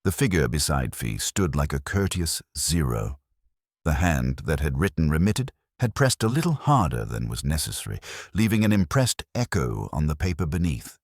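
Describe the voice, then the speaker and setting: A man reading a literary detective story as narrator, with a measured, dry and formal delivery. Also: rumbling low, very animated, vocal fry, no room sound. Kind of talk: scripted literary audiobook narration.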